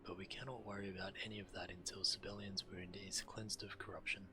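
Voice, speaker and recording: An actor playing a grave, resourceful shaman in a fantasy video game, with measured, deliberate, serious delivery. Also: deep voice, little pitch movement, lightly acted. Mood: grave, calculating. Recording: game music behind.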